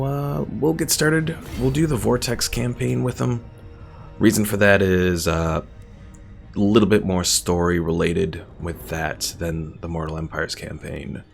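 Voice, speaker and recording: A young man with a low voice and a fair bit of vocal fry. He chats casually as a game streamer, easygoing, with faint music behind him. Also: steady tone, unhurried. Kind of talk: casual live game commentary.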